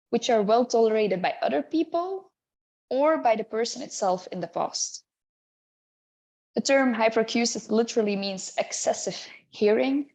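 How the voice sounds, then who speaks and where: A woman in her thirties from Belgium, speaking English with her Belgian accent as a clinician presenting an educational webinar in a measured, explanatory way with occasional hesitations. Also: mid-range voice, creaky, darkish tone. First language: not English.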